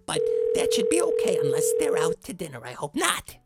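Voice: medium pitch, wildly swooping pitch, fairly quick. An actor playing a fussy, eager, corny middle-aged dad in a scripted comedy podcast, speaking in an earnest, theatrical way.

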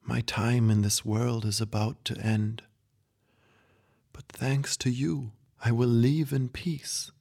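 A man voicing a frail, dying old grandfather who speaks softly, slowly and tenderly in a storybook reading. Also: mid-range voice, fairly even intonation, vocal fry. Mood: hushed. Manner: soft, hushed, slow and tender.

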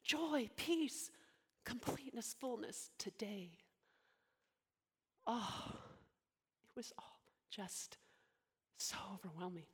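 A young woman playing Mary in a dramatic monologue, speaking emotionally and haltingly, often sinking into soft whispers. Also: fairly high pitch, animated, breathy edge, exaggerated voice. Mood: overwhelmed, reverent. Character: devoted young woman, biblical Mary, deeply moved.

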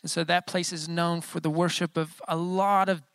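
Gravelly, dry-sounding pastor in his thirties. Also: a little high, level tone, normal speed, a bit bright, dry recording. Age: 30s. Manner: casual and joking, many filler sounds. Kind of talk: informal bilingual sermon.

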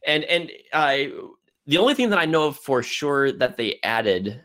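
A man hosting a casual film discussion, speaking haltingly and often repeating words as he works toward his point. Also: on the high side, big pitch swings, fairly bright tone. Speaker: film podcast host.

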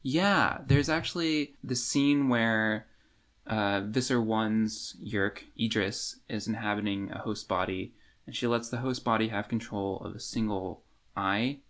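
A man co-hosting a podcast, talking casually and haltingly in a book discussion. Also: lowish voice, fairly bright tone. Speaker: podcast co-host.

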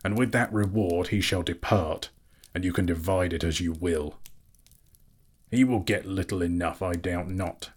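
A man voicing a proud, bitter dwarf king in a dramatic storybook reading, gruff and imperious, declaiming slowly and scornfully. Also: low-pitched. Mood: grim, bitter.

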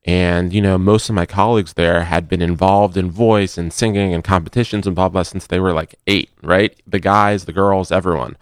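A young man, a cantor, answering interview questions in a halting way with restarts and a slight stutter. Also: low voice, steady tone, clean tone, no room sound.

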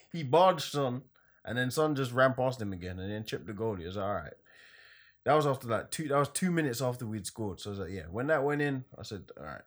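A man co-hosting a podcast, giving a laid-back, rambling football recap with frequent laughs. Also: mid-range voice. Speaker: podcast co-host. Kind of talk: casual football talk.

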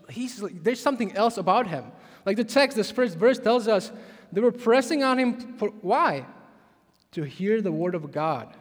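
A man from the Czech Republic with a Czech accent, preaching a sermon as a pastor, animated and emphatic with frequent restarts. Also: high-pitched, big-room sound.

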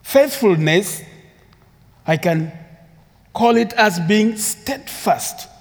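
A man's voice: high voice, lively intonation, extremely slow. A man from Uganda with a Ugandan English accent, preaching an earnest sermon as a guest speaker, repeating phrases and building emphasis. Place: church sanctuary with long reverb. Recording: reverberant church hall.

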